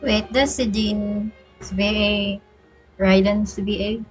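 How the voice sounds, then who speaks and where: Female game streamer, unhurried drawn-out delivery, casual slangy asides, music in the background. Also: mid-range voice, steady tone. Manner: slangy, brief interjections. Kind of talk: casual live reaction.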